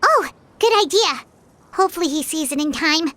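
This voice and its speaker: A tiny, chatty floating companion from a game, childlike and quick to fret. The voice is extremely high and squeaky, and it talks about itself in the third person. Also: female voice, lively intonation, bright, forceful tone, dry recording, heavily acted. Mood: worried. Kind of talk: video game dialogue.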